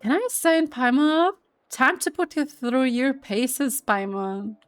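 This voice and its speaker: A woman's voice: high voice, slow. A young woman giving casual, gushing commentary while playing a game, thinking aloud and giggling. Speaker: gaming streamer. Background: faint game music.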